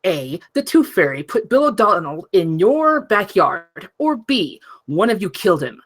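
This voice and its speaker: A woman's voice: medium pitch, very animated, a little slow. A woman playing an impatient, foul-mouthed detective in a comedy table read, brusque and exasperated.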